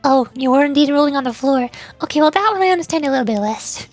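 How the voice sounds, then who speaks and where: Young female streamer, high-pitched, playful and giggly, soft game music behind. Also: lively intonation, fast talker. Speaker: VTuber streamer. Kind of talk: casual game commentary.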